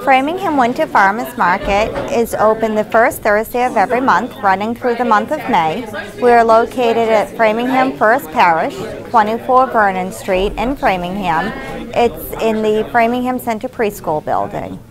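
An older woman speaks at a slightly raised pitch, clearly giving market details. Loud crowd chatter fills the busy indoor hall behind her, recorded close on camera. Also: animated, normal speed. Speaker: market manager.

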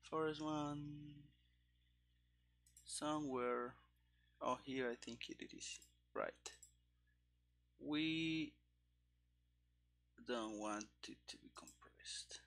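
A man narrates his live coding in a bright, creaky voice. He takes it slowly and haltingly, often muttering bits to himself as he works through each step. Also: medium pitch. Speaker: game developer streaming his coding. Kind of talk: live coding commentary, thinking aloud. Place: small room indoors. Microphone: headset.

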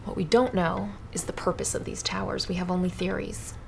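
A woman's voice: fairly low pitch, steady tone, fairly quick, vocal fry, a little mellow. A woman playing a grave, earnest research scientist, speaking precisely in a scripted game news interview. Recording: faint ambient noise behind.

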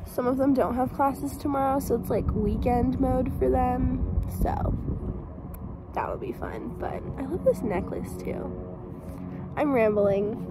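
A woman's voice: sits high, very noisy. Chatty teen girl vlogging on her phone. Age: teens. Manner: chatty, rambling, upbeat, lots of "like" and "y'all". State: losing her voice. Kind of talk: casual vlog chat. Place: bedroom at home, sometimes outdoors or in a car. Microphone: phone camera held close.

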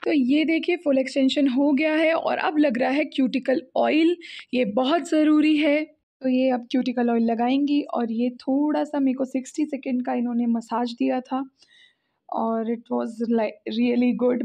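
A woman's voice: high-pitched, steady tone, normal speed, gravelly, dark tone. A young woman with an Indian accent narrating a casual beauty vlog, switching between two languages as she talks. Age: young adult. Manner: switches between Hindi and English. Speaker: beauty vlogger.

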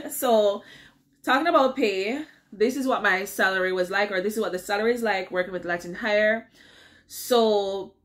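A woman's voice: medium pitch, fairly bright tone. A middle-aged woman with a Jamaican accent, chatting through a casual advice vlog from a home office and checking in with her viewers as she goes. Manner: chatty, keeps checking in with "all right?". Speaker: vlogger. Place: home office with window blinds.

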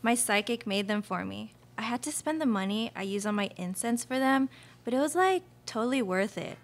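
A young woman acts an airy New Age hippie in a radio play. Her voice sits fairly high. She gushes breezily and cheerfully. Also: lively intonation, a little fast. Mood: dreamy, cheerful. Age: young adult. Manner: breezy, gushing, like-peppered. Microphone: stage microphone on stand.

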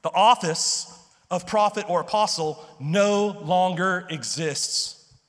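Male pastor, thirties, high voice, emphatic conversational preaching, handheld mic, long reverb from a big church hall. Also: slow pace, a bit bright. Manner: emphatic, conversational, repeats words, frequent "okay?" and "right?". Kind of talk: sermon. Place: large church stage with purple lights.

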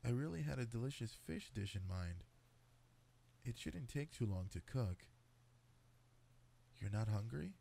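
A man playing an affectionate cat-boy boyfriend in an intimate sleep-aid roleplay, speaking softly and coaxingly. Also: medium pitch, expressive, unhurried, vocal fry, pauses often, a little hiss or hum. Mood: caring. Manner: soft, tender, coaxing, intimate. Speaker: roleplay voice actor.

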